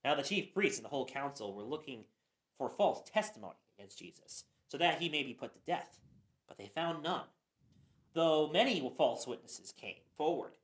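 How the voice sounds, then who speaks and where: A woman giving a dramatic Bible reading, expressive, with occasional stumbles. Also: low-pitched, moderate pace. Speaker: scripture reader. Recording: roomy echo.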